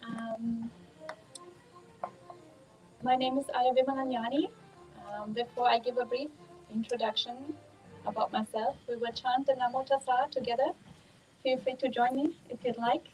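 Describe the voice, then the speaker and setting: A woman from Germany with a German accent, a Buddhist nun giving a calm, measured dharma talk at a monastery, pausing often with ums. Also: on the high side, soft background music, rough recording.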